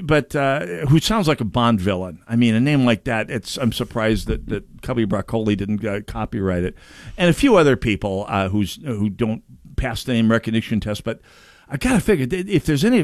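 A man's voice: mid-range voice, animated, moderate pace, dry recording, studio quality. A man hosting political talk radio, speaking casually in a rambling way with jokey asides. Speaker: radio talk show host.

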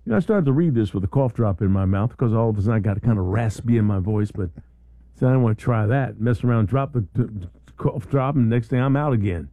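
A man's voice: lowish voice, animated, quick pace, no echo, narrow, tinny audio. A middle-aged man hosting a morning talk radio show, chatting casually and rambling through stories with many restarts. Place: radio studio. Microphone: studio microphone.

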